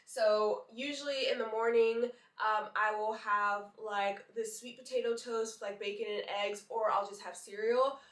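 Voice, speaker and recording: A young woman with a somewhat high, nasal voice from a cold, chatting to her vlog camera and dropping "like" all through. She sounds a little distant from the mic, and her voice rings in an echoey room. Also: fairly even intonation, moderate pace, hardly any pauses. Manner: chatty, leans on "like" fillers. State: congested from a cold. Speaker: vlogger and model. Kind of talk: casual chat to camera.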